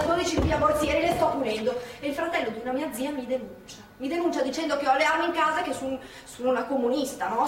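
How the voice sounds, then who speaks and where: A woman performing a brisk theatrical monologue on a stage. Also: high-pitched, fairly bright tone. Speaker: actress in a stage play. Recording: echoey theatre from far off.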